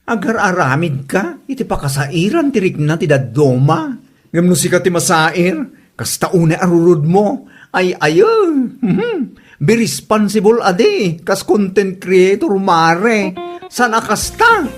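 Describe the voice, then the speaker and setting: A woman's voice: on the low side, big pitch swings, a little slow, faint music behind. A woman playing a blunt, nagging friend in an Ilocano comedy drama. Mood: scolding.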